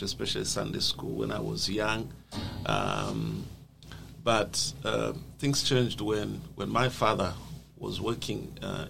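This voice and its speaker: A man's voice: fairly low pitch, gravelly. A man with a Zambian accent, earnest and thoughtful as he tells his life story in an interview. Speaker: guest. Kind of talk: personal life story in a conversation. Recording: noisy background.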